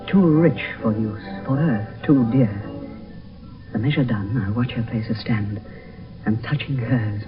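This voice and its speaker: Actor as lovestruck young gentleman, muffled. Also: mid-range voice, close to an everyday voice. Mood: awed, lovestruck. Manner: lyrical, poetic. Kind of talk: 1970s radio Shakespeare verse acting. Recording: muffled distant radio broadcast, music behind.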